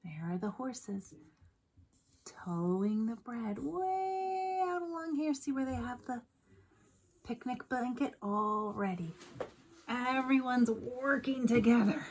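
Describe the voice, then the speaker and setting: A woman's voice: on the high side, lively intonation, takes it slowly, slight background noise. A woman reading a picture book aloud like a teacher, expressively, with chatty asides and questions to young listeners.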